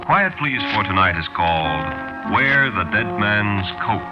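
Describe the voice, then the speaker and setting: Loud music plays behind a male American announcer on a muffled vintage radio recording. He speaks slowly and solemnly, in deliberate 1940s broadcast style. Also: on the low side, animated.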